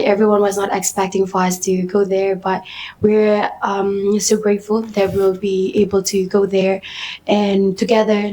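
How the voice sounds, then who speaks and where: A woman's voice: medium pitch, a little mellow, rough recording. A woman in her twenties from Fiji, a youth missionary sharing a heartfelt testimony in a hesitant way. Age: 20s.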